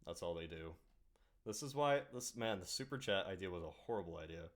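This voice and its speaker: Low-voiced man drawling through podcast chat. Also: animated, brisk. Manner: drawling, trails off into mumbles. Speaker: podcast host.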